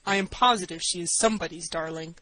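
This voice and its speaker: Woman acting male judge, authoritative, paternal, soft-hearted, storybook character, close dry sound. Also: on the low side, quick pace, dry recording, poor audio. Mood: kind, paternal. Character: kindly male judge, harsh-toned at first, soft-hearted.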